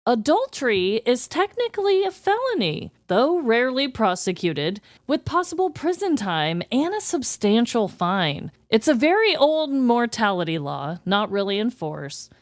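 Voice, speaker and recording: A woman's voice: fairly high pitch, big pitch swings, unhurried, few pauses, no room sound, clean studio sound. A woman co-hosting a casual podcast from the Midwest, playfully reading out items and chatting. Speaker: podcast co-host. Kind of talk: casual chat, reading out a list.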